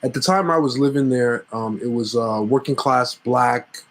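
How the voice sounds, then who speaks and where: A man's voice: medium pitch, moderate pace. A man from Cameroon, a filmmaker guest in a casual interview, speaking easily with hesitant fillers.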